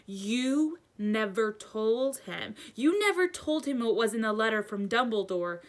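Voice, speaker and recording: Woman acting gruff giant man, booming and growling, hot-tempered yet kindly, bright forceful tone, storybook character. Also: animated. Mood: furious. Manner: booming, growling, drawn-out emphatic delivery. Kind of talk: children's storybook read-aloud.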